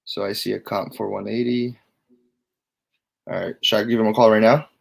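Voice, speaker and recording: A man's voice: fairly low pitch, fairly even intonation, moderate pace, creaky. A man who is a real estate investor chatting casually on a call, enthusiastic and swearing freely.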